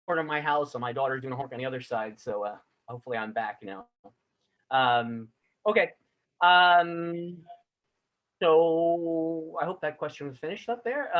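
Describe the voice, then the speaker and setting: Chatty male moderator, slightly high voice. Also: dull audio. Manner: apologetic, loose, chatty. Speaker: forum moderator. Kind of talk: moderating an online forum. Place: a corner of his home.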